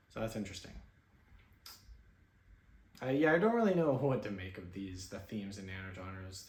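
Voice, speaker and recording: A man casually talking through his film-watching stats with an offhand, relaxed manner and plenty of fillers, recording at home in his apartment. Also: medium pitch, echoey room. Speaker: film vlogger. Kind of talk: casual screen-share commentary.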